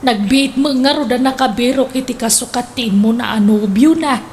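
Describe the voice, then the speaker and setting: A woman's voice: on the high side, moderate pace, darkish tone, a little hiss or hum, close to an everyday voice. A woman playing a pious, meek mother in an Ilocano radio comedy drama, with an Ilocano accent. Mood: earnest.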